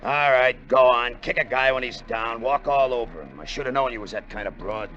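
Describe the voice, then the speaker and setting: An actor playing a bitter, short-tempered, broke American gambler in a hard-boiled 1950s radio drama, tough and slangy. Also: male voice, mid-range voice, a little fast, fairly bright tone, natural voice. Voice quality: tough, slangy, curt delivery. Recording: dull vintage radio recording, music behind.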